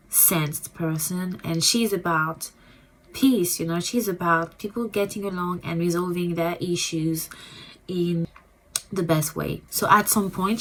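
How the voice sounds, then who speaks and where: A woman with a French accent talks through a memoir she has read, in a loose and rambling way. She often repeats herself and pauses with ums, and her voice sits slightly low. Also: low-quality recording. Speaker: booktuber. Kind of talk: casual book review.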